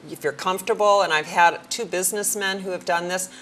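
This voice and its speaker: Low-voiced woman giving practical advice. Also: fairly even intonation, fairly bright tone, a little hiss or hum. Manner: friendly, practical, slows down to demonstrate clear speech. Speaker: hearing care professional, guest. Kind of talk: conversational advice on a talk show.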